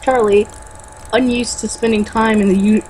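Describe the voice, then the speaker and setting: A woman's voice: on the high side, steady tone, moderate pace, a little mellow, a little hiss or hum, low-quality recording. A woman reading a novel aloud and breaking into exasperated, sarcastic, sweary commentary and casual banter.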